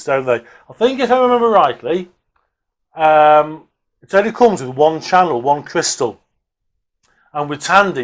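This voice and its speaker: A man presenting a hobby video, giving casual, unscripted workbench commentary in a hesitant way, as if thinking aloud. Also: mid-range voice.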